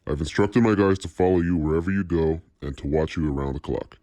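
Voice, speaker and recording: A man's voice: very deep voice, a little fast, dark tone, dry recording. A man playing a cold, menacing crime boss in an audio drama, commanding and threatening. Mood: calm, businesslike. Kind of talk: dramatic audio scenario acting.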